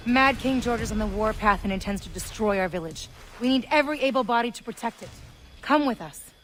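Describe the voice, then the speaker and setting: Fierce warrior mother, animated delivery. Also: a little high, fairly quick, soft background music. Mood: stern, insistent. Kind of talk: video game cutscene acting.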